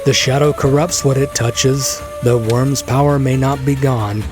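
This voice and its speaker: Man playing a grave fantasy adventurer, slow delivery. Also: mid-range voice, music in the background, voice pushed up, close to an everyday voice. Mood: grave warning. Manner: steady, grave, foreboding. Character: grim, steady skeletal-handed male fantasy adventurer. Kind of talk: fantasy audio drama dialogue.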